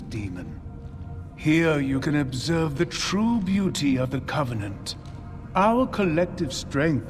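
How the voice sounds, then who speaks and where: Haughty, slow-speaking alien high priest. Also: male voice. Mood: condescending. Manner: grandiose, condescending oratory. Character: haughty, silky alien zealot religious leader, male. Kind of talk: theatrical video game villain acting.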